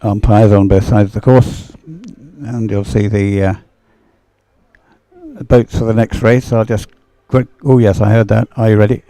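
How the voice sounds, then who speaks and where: A man giving live, unscripted sports commentary as a race commentator, with a halting, rambling delivery full of hesitation. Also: on the low side, fairly even intonation, warm tone, no room sound.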